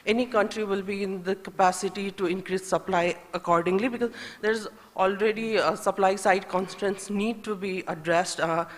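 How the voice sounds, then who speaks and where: A woman from Nepal with a Nepali accent, speaking as a conference panelist in a hesitant, halting way, thinking aloud as she makes her points. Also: mid-range voice, steady tone. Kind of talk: semi-spontaneous panel remarks on trade policy. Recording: echoey hall with long reverb.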